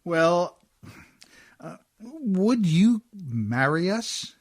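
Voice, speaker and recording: Folksy boatman, male actor, radio play: easygoing, sheepish, slow delivery, dry sound. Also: high voice, sing-song, slightly rough, no room sound, exaggerated voice. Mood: sheepish, pleading. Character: humble, easygoing riverboat man, sheepish and fond of his sweetheart.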